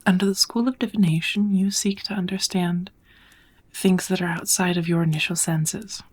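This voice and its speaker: Soft, breathy, low-voiced witch played by a woman. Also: steady tone. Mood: gentle, instructive. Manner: gentle, measured, encouraging, often slipping into whispers. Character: kind, patient witch mentoring a dear apprentice. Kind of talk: ASMR roleplay, second-person scripted teaching.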